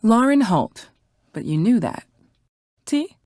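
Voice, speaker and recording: A woman's voice: on the high side, big pitch swings, takes it slowly, a little mellow, dry recording, clean studio sound. An actress playing a guarded, weary, grieving woman in a video game, speaking curtly. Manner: curt, guarded.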